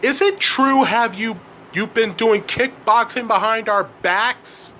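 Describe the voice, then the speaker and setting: Noisy, shrill demanding mother, acted by a man. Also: slow, bright, forceful tone, heavily acted. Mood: accusing. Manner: shocked, demanding. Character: stern, disapproving mother of a teenage kickboxer.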